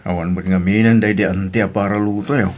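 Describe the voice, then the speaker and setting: An actor playing an easygoing, devoted husband and market vendor in a radio drama, with an Ilocano accent. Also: male voice, fairly low pitch, fairly even intonation, fast talker, a little mellow, natural voice. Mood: teasing.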